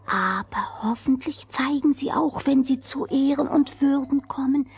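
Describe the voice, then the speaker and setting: Genteel lady crow, high voice, slow courteous delivery, storybook record. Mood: knowing, hushed. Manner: formal, deliberate courtesy. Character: polite, genteel tame female crow, palace insider. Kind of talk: fairy-tale record drama.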